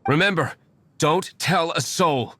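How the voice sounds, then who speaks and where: A man's voice: on the high side, slow pace, a bit bright, breathy edge, no room sound, heavily acted. An actor playing an aloof, brooding young nobleman vigilante in a video game, formal, curt and disdainful. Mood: brisk, dismissive. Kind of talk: video game character acting.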